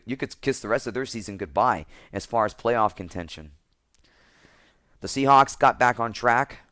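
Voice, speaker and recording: A man with a slightly hoarse voice, giving casual football commentary as a picks vlogger and rambling, restarting his sentences often. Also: fairly low pitch, fairly bright tone, dry recording, studio quality.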